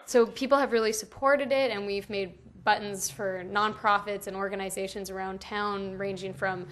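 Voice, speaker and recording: A woman's voice: mid-range voice, fairly even intonation, moderate pace, fairly bright tone, slight background noise. A young woman giving casual, reflective interview answers about her work in a small office. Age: young adult. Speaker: interviewee, founder of a small initiative.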